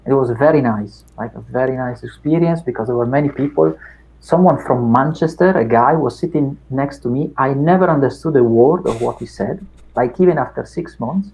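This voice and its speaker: Gravelly middle-aged Italian man. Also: mid-range voice, darkish tone, slight background noise, poor audio. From Italy. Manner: unhurried, many fillers and restarts. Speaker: academic guest. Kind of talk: reflective interview chat.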